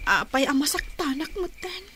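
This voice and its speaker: A woman playing an earnest, poor young village woman with a plaintive, measured delivery in a radio drama. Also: high voice, big pitch swings, bright, forceful tone, a little hiss or hum, full character voice. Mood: troubled, pensive.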